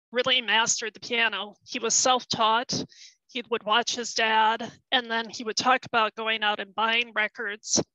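A woman's voice: on the high side, sharp tone, no room sound, low-quality recording. A middle-aged woman historian giving a careful online talk, hesitating with ums and losing her voice. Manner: explanatory, careful, with frequent ums and self-corrections. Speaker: historian presenting research. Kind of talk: webinar presentation.

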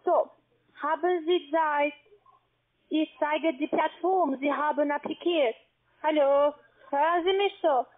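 A non-native German-speaking woman with a foreign accent, making a sales pitch as a call-centre agent. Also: steady tone, slow pace, pauses often. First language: not German. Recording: tinny narrowband phone line.

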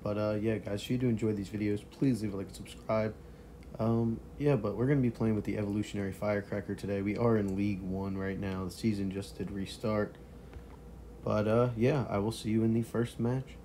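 Young male game commentator, hoarse from a lost voice, lowish pitch, laid-back, quiet chuckles, noisy recording. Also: brisk. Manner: relaxed, chuckles quietly while playing. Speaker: mobile game streamer. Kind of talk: casual gameplay commentary.

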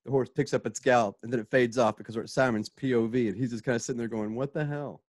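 Male narrator-director for live radio drama, mid-pitched, informal, talking through sound cues in a dry close recording. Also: level tone, racing, no room sound. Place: home, remote video call.

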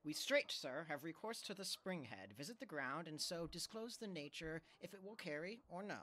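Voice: medium pitch, expressive, normal speed, fairly bright tone. An actor playing an officious, pedantic, ceremonious expert on procedure in an early modern comedy audio drama. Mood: grandly instructive.

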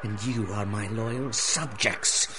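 An actor playing a pompous, menacing villain who lords over child thieves in a 1930s-style radio drama, speaking with mock courtesy. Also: male voice, fairly low pitch, steady tone, slow, sharp tone, slight background noise. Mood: imperious. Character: theatrical villain Rat Lord ruling child thieves from a sewer.

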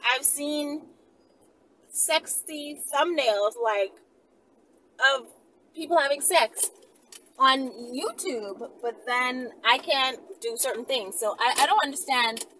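A woman's voice: sits high, takes it slowly, sharp tone. Woman vlogging, slightly distant amid faint noise. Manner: musing aloud, rambling, rhetorical questions. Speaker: vlogger, channel host. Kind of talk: casual unscripted vlog chat to viewers. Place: inside a car by a street, daytime. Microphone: camera at arm's length. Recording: distant mic, noisy car interior.

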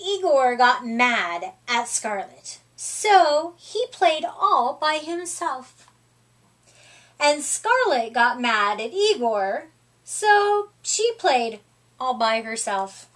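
A middle-aged woman storyteller reads to young children. Her voice is high and swoops expressively, and she takes her time over every phrase. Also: big pitch swings, very slow, a bit bright. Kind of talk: reading a children's picture book aloud. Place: small room, indoors.

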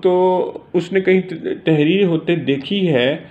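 A man with a Pakistani accent giving an informal lesson as a teacher, reading out and explaining in a halting way. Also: high-pitched, phone-quality sound. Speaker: law teacher.

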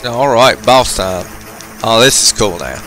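A man's voice: medium pitch, lively intonation, unhurried, bright, forceful tone. A man hosting a video game channel, giving informal, chatty gameplay commentary with some slang. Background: arcade game music.

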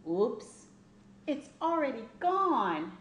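A middle-aged woman reads a children's picture book aloud in an expressive, sing-song storytelling style, speaking deliberately into a webcam mic in a small room. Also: sits high. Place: small plain indoor room. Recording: roomy echo, faint background noise.

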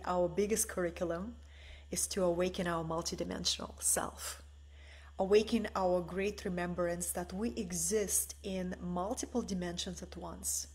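A woman in her forties, originally from the former Soviet Union, giving a soft, meditative spiritual talk from her living room on a webcam. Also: on the low side, slightly rough. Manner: slow, soft and meditative, often asking "right?". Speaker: spiritual teacher. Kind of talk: live-streamed spiritual talk. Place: bright living room at home, indoors.

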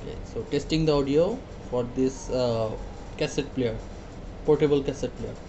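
A man demonstrating a product casually, hesitant and halting, often repeating his words. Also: medium pitch, lo-fi sound, noisy background. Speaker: product reviewer.

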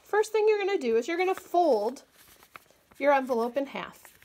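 A woman educator giving a clear, patient, step-by-step craft demonstration at a table indoors. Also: high-pitched, big pitch swings, normal speed, a little mellow. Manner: clear, patient instructional delivery. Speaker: agriculture educator. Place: small indoor room, table-top demo.

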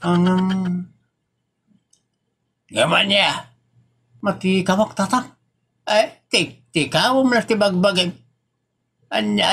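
A man's voice: high voice, gravelly, a bit bright, heavily acted. A man playing a defensive, flustered old village father in a comedy drama, protesting with exclamations in an Ilocano accent.

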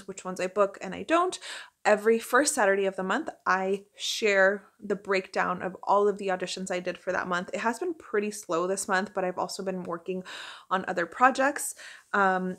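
A woman's voice: mid-range voice, fairly bright tone. A young adult woman talking in a chatty, informal way, as in a casual first-person vlog to camera. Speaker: vlogger and working actor.

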